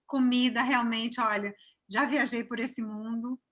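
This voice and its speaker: Mulher baiana de voz aguda e brilhante. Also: female voice, a little high, steady tone, measured pace. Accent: Brazilian Portuguese, from Cachoeira (Bahia). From Brazil. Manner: warm, chatty, many fillers and restarts. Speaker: interview guest. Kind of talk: casual interview chat.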